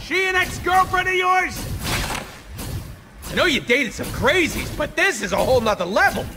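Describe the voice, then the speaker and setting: An actor playing a gruff, sarcastic talking raccoon in a video game, over game music. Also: male voice, very high-pitched, big pitch swings, normal speed, heavily acted. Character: wisecracking greedy talking raccoon, male adult.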